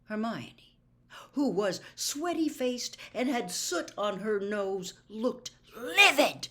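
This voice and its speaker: A woman reading a novel aloud as a storyteller, with deliberate, dramatic and expressive narration. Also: a little high. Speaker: audiobook reader.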